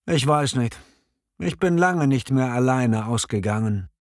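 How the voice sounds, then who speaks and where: A man playing a hesitant, choosy single man in a scripted language-learning dialogue, speaking reflectively and clearly. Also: mid-range voice, animated, measured pace, no room sound, studio quality, natural voice.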